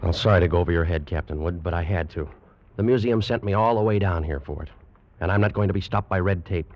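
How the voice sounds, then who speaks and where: Deep-voiced American adventurer actor, muffled old radio. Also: male voice, fast, lightly acted. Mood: firm, confident. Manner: dramatic, emphatic, terse. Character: determined, hard-boiled American snake-hunting adventurer. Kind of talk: old-time radio drama acting and first-person narration. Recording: muffled vintage radio with music.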